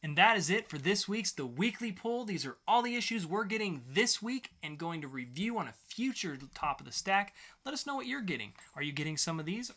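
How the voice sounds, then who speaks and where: Bright, animated male podcast host. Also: sits high. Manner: theatrically sarcastic, mock-grandiose. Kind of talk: casual comic review chat.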